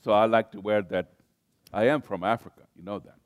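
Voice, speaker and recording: A man's voice: lowish voice, steady tone, a little fast, no echo. An older man born in Kenya preaching a sermon to a congregation, often restarting phrases and calling on listeners to respond. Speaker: pastor.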